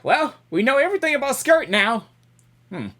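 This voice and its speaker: Animated woman streamer reacting to a game. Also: high voice, normal speed. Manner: excitable, slangy exclamations. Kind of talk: reaction commentary, reading dialogue aloud.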